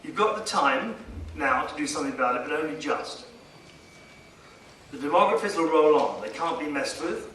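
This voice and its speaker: A man delivering a formal public speech as a guest speaker, emphatic and rhetorical, pausing for effect. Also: a little high, lively intonation, a bit bright. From India. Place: conference hall, addressing a seated audience. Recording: echoey hall, distant mic, faint noise.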